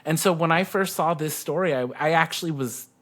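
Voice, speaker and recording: A podcast co-host with a medium-pitched voice chats casually. The delivery is informal and giggly, with an easy storytelling flow of personal anecdotes. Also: fairly quick, a bit bright. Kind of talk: casual chat.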